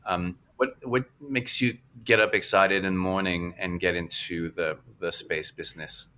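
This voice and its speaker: A man's voice: sits low, fairly even intonation, normal speed, bright, forceful tone. A man hosting a casual podcast interview, asking long, rambling questions with restarts. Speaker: podcast host.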